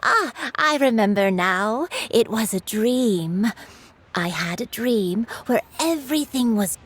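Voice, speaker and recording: Slow, gravelly, forgetful game granny with a lively lilt; faint music. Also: female voice, a little high, heavily acted. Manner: slow, wandering, musing. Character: forgetful old granny, gentle and dreamy. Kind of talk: video game voice acting. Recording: soft music behind.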